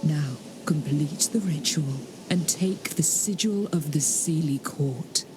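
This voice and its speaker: A woman's voice: sits low, slow, darkish tone, slight background noise, low-quality recording. A woman playing a solemn, mystical narrator of a fairy ritual in a fantasy video game. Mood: solemn, hushed.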